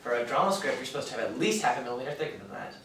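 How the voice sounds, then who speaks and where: A man's voice: mid-range voice, very animated, racing, fairly bright tone, recorded from a distance. A man playing a timid, self-deprecating aspiring scriptwriter in a stage play, hesitant and stammering. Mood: self-deprecating, doubtful. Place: theater stage, distant mic. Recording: echoey stage recorded from afar, noisy.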